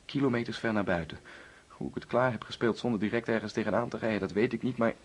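A husky young man in a radio play, tormented by guilt and confessing haltingly. His words repeat and catch, with an intense, accusing edge, and the sound is unclear and raspy. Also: fairly low pitch, steady tone. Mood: haunted confession. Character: anguished young man confessing to causing his friend's death. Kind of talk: radio drama acting.

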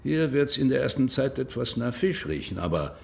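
A man's voice: medium pitch, sing-song, moderate pace, gravelly. Grave, insistent male government official. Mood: grave explaining. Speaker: actor in a radio crime drama. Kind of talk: naturalistic radio drama acting.

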